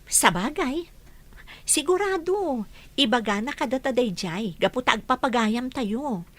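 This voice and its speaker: An actress playing a chatty, cheerful young friend who brings gossip in a radio drama. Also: female voice, high voice, animated, a little slow. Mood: agreeable.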